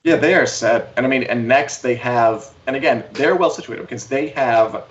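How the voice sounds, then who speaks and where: A man's voice: medium pitch, moderate pace. A man co-hosting an entertainment livestream, chatting casually about shows with dry, tongue-in-cheek humour. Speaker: co-host of an entertainment news livestream. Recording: muffled sound, clear background noise.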